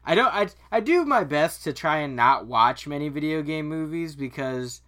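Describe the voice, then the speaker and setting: A man's voice: a little high, animated, normal speed, sharp tone. A man co-hosting a podcast, talking casually and bluntly in an informal, sweary way. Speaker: podcast co-host. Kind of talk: casual chat.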